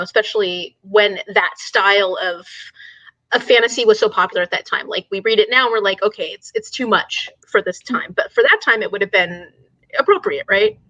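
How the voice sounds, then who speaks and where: A woman's voice: medium pitch, bright, forceful tone, no room sound, muffled recording. A woman hosting a casual book discussion in an engaged, agreeable manner.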